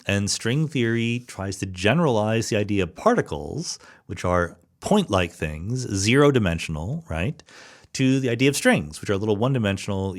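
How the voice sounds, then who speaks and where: A middle-aged man hosting a solo podcast, answering listener questions in an explanatory way and thinking aloud with small restarts. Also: fairly low pitch. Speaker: podcast host.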